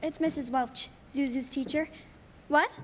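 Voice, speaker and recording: Young woman playing a very high-voiced worried wife. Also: fairly even intonation, a little slow, slight background noise. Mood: concerned. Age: young adult. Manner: earnest, exclamatory. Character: worried, reproachful wife and mother. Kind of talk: radio play acting. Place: stage in a school theater. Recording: reverberant hall.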